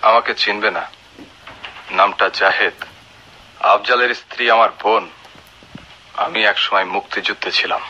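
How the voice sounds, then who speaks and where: An actor with a Bangladeshi accent playing a bold, blunt former freedom fighter, a husky and deliberate speaker in a radio drama. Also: male voice, on the low side, steady tone, background noise, lightly acted. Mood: stern, challenging. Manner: forceful, deliberate. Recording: dull old radio recording.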